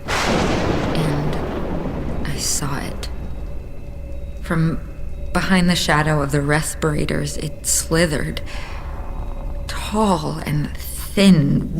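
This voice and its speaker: Slow-speaking, haunted zealot woman. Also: low voice, slight background noise. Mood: hushed dread. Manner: intense, deliberate, solemn. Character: haunted, zealous young woman on a deadly mission. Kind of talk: audio drama acting, confessional monologue.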